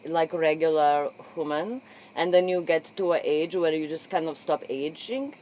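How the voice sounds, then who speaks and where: A woman's voice: deep voice, a little mellow, slight background noise, rough recording. A woman playing an earnest, curious interview host, rambling in broken English in a spoof late-night show.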